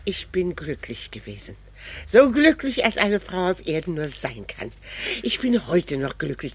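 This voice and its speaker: An actress playing a proud, unrepentant middle-aged wife with grave fervour in a 1960s radio drama. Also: female voice, low voice, a bit bright, breathy edge, exaggerated voice. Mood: defiant. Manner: grave, fervent, solemnly emphatic. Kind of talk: 1960s German-language radio drama acting.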